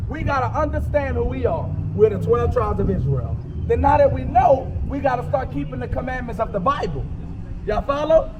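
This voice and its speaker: A man's voice: very high-pitched, lo-fi sound. A man from the United States preaching on the street in an emphatic, colloquial way, checking in with his listeners as he goes. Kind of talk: street sermon with call-and-response to a reader and bystanders. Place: outdoors on a city street. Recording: distant mic, clear outdoor traffic noise.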